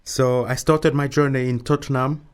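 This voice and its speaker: A man's voice: mid-range voice, steady tone, normal speed, clear, resonant tone. A man from Mauritius being interviewed, talking casually and repeating words often.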